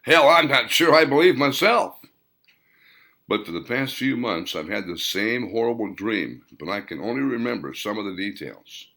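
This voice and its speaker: A man's voice: on the low side, sing-song, normal speed, bright, forceful tone, full character voice. A man playing a worried man who confides his recurring nightmares in an uneasy, confiding way.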